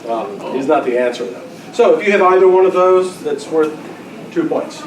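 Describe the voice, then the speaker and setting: An older man with a high voice runs a quiz, calling out questions and answers. He is picked up from a distance in an echoey room, with people chattering around him. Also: animated, normal speed, slight background noise. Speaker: quiz master. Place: large room at a club luncheon.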